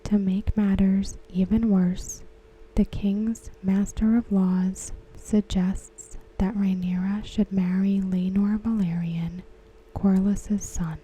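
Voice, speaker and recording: Slow breathy whispering woman. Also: medium pitch, level tone, dark tone, rough recording. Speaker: ASMR creator. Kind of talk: soft-spoken ASMR recap.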